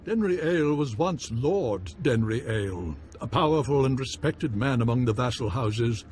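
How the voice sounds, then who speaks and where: A man's voice: medium pitch, animated, moderate pace, darkish tone, natural voice. Casting: male actor as lordly game baron, gracious and formal, very varied intonation, faint background noise. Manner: formal, courtly phrasing. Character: courtly male noble baron, gracious lord of the house. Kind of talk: video game voice acting.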